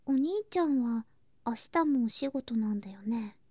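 A woman playing a sweet, clingy younger girl cousin in an ASMR voice drama, soft and breathy, often whispering, with a cutesy, affectionate manner. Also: a little high, normal speed, no room sound, lightly acted. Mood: sleepy, tender. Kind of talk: Japanese ASMR situation voice drama. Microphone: close ASMR microphone.

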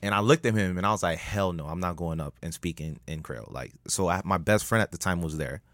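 A man telling a story as a podcast guest, animated, jumping up in pitch when he jokes. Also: quick pace, dry recording. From United States. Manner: animated, rises sharply in pitch when joking. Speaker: guest, public speaker.

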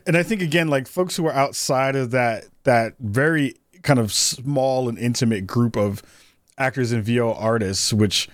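A man's voice: medium pitch, moderate pace, no room sound, studio quality. A man hosting a podcast interview, asking long questions with plenty of enthusiasm. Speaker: podcast host. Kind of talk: conversational interview.

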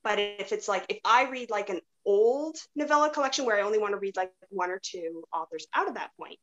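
A woman's voice: mid-range voice, expressive, brisk, fairly bright tone, lo-fi sound. A woman chatting casually as a podcast panelist, rambling along and peppering her sentences with "like". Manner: rambling, frequent "like". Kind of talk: casual chat.

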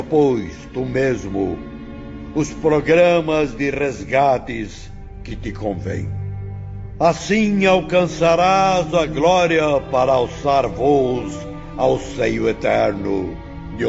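A man acting as a solemn, commanding spiritual teacher in a radio drama, declaiming grand exhortations with a gravelly voice. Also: mid-range voice. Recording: muffled audio with music behind.

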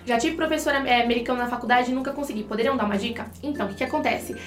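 A woman's voice: on the high side, very fast, reverberant, soft background music. A Brazilian woman co-hosting an online English lesson, chatting to viewers as she explains things with examples. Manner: explanatory, addresses viewers directly, uses examples. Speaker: English teacher and co-host. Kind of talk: conversational language lesson for online video.

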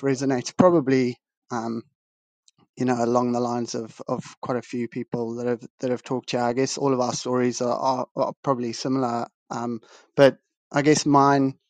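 A man's voice: mid-range voice, no room sound. A man from Zimbabwe, a guest artist on a video call, talking in a rambling, modest way and often restarting his sentences. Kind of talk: casual video-call interview. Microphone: video call over a weak connection.

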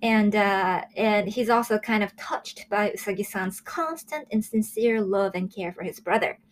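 A woman in her early twenties from Japan, hosting a casual solo review show in a chatty, excitable way. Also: mid-range voice, moderate pace. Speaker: host of a manga review show.

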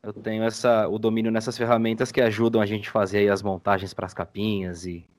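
A man's voice: on the low side, level tone, a little fast, a little mellow. A man with a São Paulo Brazilian accent, chatting casually as a guest on a podcast. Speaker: podcast guest, audio editor. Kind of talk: casual chat.

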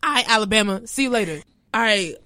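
A young woman co-hosts a casual chat at an easy middle pitch. She talks with lively energy, often laughing and slipping into mocking impressions of what people say to her. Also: fast. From Haiti. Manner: animated, laughing, mock-quoting people. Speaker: podcast co-host.

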